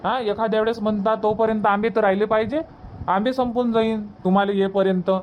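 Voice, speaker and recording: A man acts out an eager village man from a cartoon comedy. His voice sits very high for a man, and he talks in colloquial Varhadi Marathi, teasing and pressing his point. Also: flat delivery, quick pace, lo-fi sound, slight background noise, natural voice. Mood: eager persuasion.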